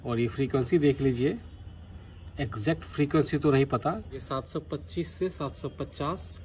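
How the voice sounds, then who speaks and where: A man's voice: mid-range voice, normal speed, a little mellow, slight background noise. A man from Bihar, India, talking casually and earnestly as a radio hobbyist making a vlog. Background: music from a radio.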